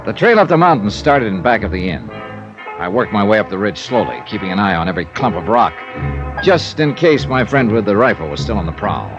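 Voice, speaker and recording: A man's voice: fairly low pitch, big pitch swings, a little fast. An actor playing a cool, tough American investigator, narrating his case in clipped, dramatic 1950s radio drama style. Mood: tense, watchful. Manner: clipped, dramatic first-person storytelling. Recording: dull old radio recording, loud music.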